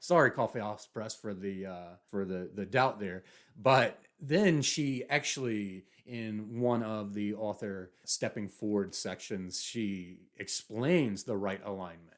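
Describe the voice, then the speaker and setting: A man's voice: lowish voice, measured pace. Thoughtful man reviewing a novel. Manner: thoughtful, musing, reads passages aloud. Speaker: book reviewer. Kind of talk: booktube book review.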